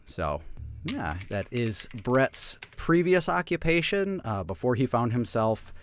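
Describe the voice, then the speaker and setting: A man playing a tabletop game, talking casually in a halting, wry way. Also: medium pitch, sing-song, slight background noise. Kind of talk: casual improvised character introduction.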